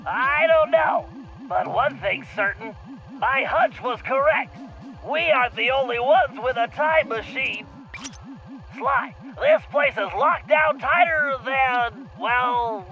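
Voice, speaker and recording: A man voicing a nerdy, brainy turtle gadget expert for an animated video game, with a nasal, fussy, precise delivery over a radio communicator. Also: very high voice, slow, muffled recording, exaggerated voice. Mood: concerned. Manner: fussy, precise, briefing style. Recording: band-limited radio-like sound, faint music behind.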